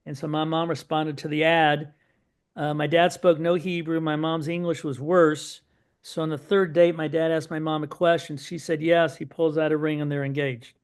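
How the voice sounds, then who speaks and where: Middle-aged man, slightly high voice, animated storyteller, podcast guest. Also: clean tone. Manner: lively anecdotal storytelling with frequent asides. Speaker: podcast guest, son of Holocaust survivors. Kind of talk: storytelling in an interview.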